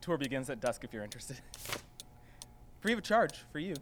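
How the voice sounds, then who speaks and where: Man playing an awkward young clerk, rambling, giggly, swooping pitch, background hiss. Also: very animated, normal speed, a bit bright. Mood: sheepish. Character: awkward friendly young man at a boarding house.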